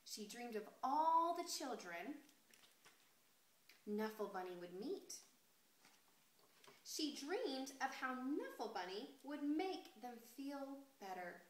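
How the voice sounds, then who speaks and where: A woman reading a picture book aloud expressively and deliberately, putting questions to young listeners. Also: sits high, sing-song, a little hiss or hum. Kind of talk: expressive children's story read-aloud. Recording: roomy, echoey sound.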